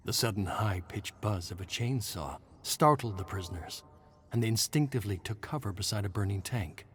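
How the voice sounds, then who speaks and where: A man's voice: fairly low pitch, a little hiss or hum. A man reads a scripted horror story in a theatrical, suspenseful manner, drawing out words for emphasis. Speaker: narrator reading game lore stories. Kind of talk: dramatic scripted horror narration.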